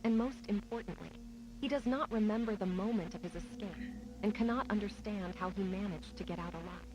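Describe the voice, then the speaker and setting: A woman's voice: mid-range voice, fairly quick, background noise, lightly acted. A woman playing a newspaper reporter, reading a grim horror report in a formal, matter-of-fact way. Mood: grave, factual. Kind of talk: scripted news narration. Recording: music playing under the voice.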